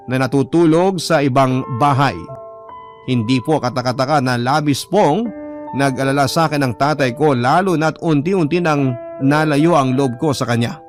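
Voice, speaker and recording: A man's voice: a little high, moderate pace. A man with a Filipino accent reading a first-person love story as sorrowful, earnest dramatic narration. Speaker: radio drama letter reader. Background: background music.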